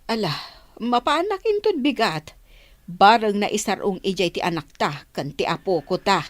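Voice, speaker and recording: A woman's voice: medium pitch, lively intonation, normal speed, dry recording, natural voice. A woman playing a concerned village mother and grandmother in a radio drama, speaking. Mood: worried.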